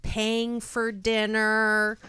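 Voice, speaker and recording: A woman's voice: a little high, monotone, very slow, sharp tone, clean tone, no room sound. A middle-aged woman hosting a radio talk show, chatty and bubbly, laughing often and restarting her sentences. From Canada. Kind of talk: casual radio chat with guests.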